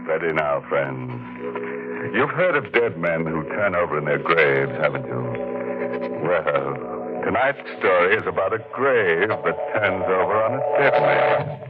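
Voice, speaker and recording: A man's voice: low-pitched, animated, measured pace, loud music behind the voice. A man playing a sly ghoulish radio host. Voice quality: sly, ghoulish punning delivery. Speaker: horror anthology host. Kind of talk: 1940s radio acting. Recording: tinny old radio broadcast.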